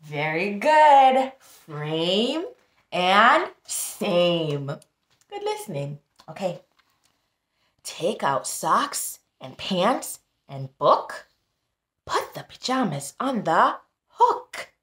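A woman in her thirties reading aloud to young children as a tutor, pausing to teach and speaking to them directly in an animated, instructive way. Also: mid-range voice. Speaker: tutor hosting a children's book club. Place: small indoor room. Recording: echoey small room.

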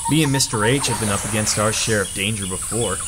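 Brief: actor as blunt wisecracking sidekick, loud jungle noise behind. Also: male voice, fairly low pitch, fast, close to an everyday voice. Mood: brash. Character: brash American sidekick, blunt and casual. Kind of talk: 1930s radio drama acting.

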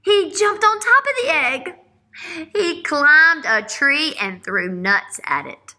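A woman in her thirties, a teacher reading a picture book aloud to young children and asking them questions with drawn-out emphasis. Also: high-pitched, sing-song, pushed and bright. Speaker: elementary school teacher. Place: small classroom-like room, indoors. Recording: roomy indoor echo.

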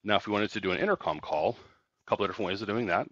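Male product trainer, low register, casual step-by-step tutorial delivery, dry and slightly lo-fi recording. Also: very fast, fairly bright tone, slight background noise. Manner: explanatory, step-by-step.

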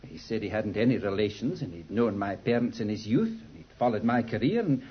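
An actor playing an anxious, earnest young Englishman in a 1950s radio drama, speaking in a nervous, halting, pleading way. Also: lowish voice, animated, husky, dull audio. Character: anxious, earnest young man protesting his innocence.

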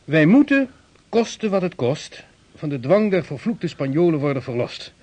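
Animated, grandiloquent statesman. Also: on the high side, normal speed. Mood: grave, emphatic. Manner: grandiloquent, formal, emphatic. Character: weighty, deliberate statesman of a young republic. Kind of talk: Dutch radio drama acting.

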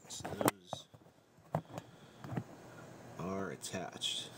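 A man narrating a casual hands-on tutorial, thinking aloud in an unhurried way as he works. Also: low voice. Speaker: DIY electronics demonstrator. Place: indoor workbench. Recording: clear background noise and room ambience.

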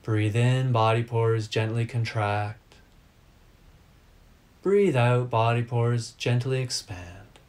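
A man teaches a guided meditation at a very slow pace. His voice sits at a medium pitch. He delivers short, measured instructions in a calm and deliberate way.

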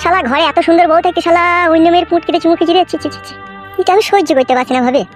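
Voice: very high voice, racing, faint music behind. A performer voicing a crude, wheedling, lovestruck younger brother-in-law in a comic cartoon. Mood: scandalized.

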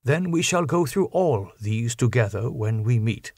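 A man voicing a grave, fervent old foreign professor who speaks solemn, halting, slightly broken English in an audiobook. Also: mid-range voice, animated, darkish tone, dry recording, clean studio sound. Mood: grave, earnest. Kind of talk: audiobook character voice.

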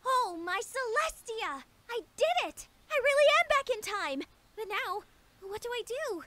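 A woman's voice: extremely high pitch, big pitch swings, measured pace, background noise, full character voice. A woman playing a young female unicorn pony in a cartoon, bewildered and earnest, stammering with emphasis. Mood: amazed. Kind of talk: animated fan-series dialogue.

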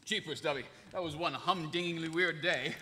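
A man's voice: fairly high pitch, normal speed, bright, forceful tone. A man playing a wholesome, earnest action hero with solemn, old-fashioned formality at a live table read. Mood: wholesome cheer. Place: large indoor convention panel room. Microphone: table microphone. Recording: noisy echoey convention hall panel.

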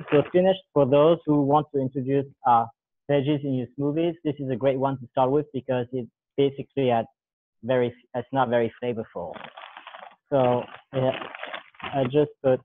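Man, 30s, mid pitch, rambling cooking demo, phone-like sound. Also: moderate pace, long pauses, slight background noise. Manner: relaxed, rambling, self-correcting while working with his hands. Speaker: guest demonstrating a recipe. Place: large bare room with a counter, indoors. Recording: thin phone-like call audio.

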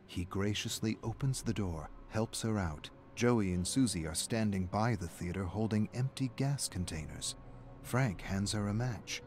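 A man reading a scripted story aloud as narrator, in a measured, dramatic storytelling manner. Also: on the low side, darkish tone, slight background noise.

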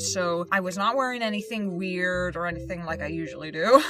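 A young woman with a Pittsburgh accent and a speech impediment, telling a rambling storytime in casual chat. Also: mid-range voice. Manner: rambling, chatty, with little laughs and asides. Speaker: YouTuber. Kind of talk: casual storytime over speedpaint. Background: background music.

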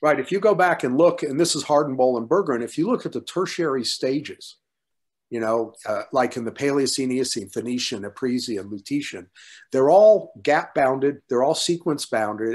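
An older man with a mid-range voice talks through science on a video call. He is conversational and emphatic, like a researcher in an informal workshop discussion. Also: mid-range voice. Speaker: paleontologist at a workshop. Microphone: video call computer mic.

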